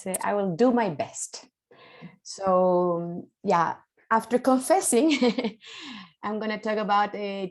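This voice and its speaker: A woman's voice: medium pitch, a little mellow. A woman from Chile with a Chilean Spanish accent and Spanish as her first language, giving a nervous, hesitant conference talk full of fillers. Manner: hesitant, many fillers and restarts. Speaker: keynote speaker, mediator.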